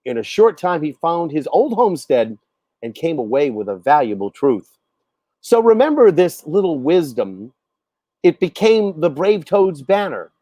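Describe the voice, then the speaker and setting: A man reciting a storybook poem in a measured, dramatic, deliberate storytelling manner. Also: a little high, very animated, mellow, no room sound. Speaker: poet reading own poem. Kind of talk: expressive storybook poetry recitation.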